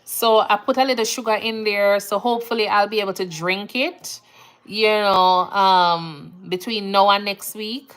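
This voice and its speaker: A woman's voice: mid-range voice, measured pace, clean tone. A woman from Jamaica with a Jamaican accent, chatting casually on a livestream and reading out viewer comments. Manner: relaxed, chatty, laughs easily, Jamaican phrasing. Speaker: nurse livestream host.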